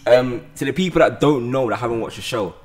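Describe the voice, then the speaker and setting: A man's voice: mid-range voice, fast. A young man hosting a casual interview in an informal manner. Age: young adult. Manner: informal, reacting with disbelief. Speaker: interview host. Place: bright loft studio with sofa, indoors. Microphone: handheld microphone.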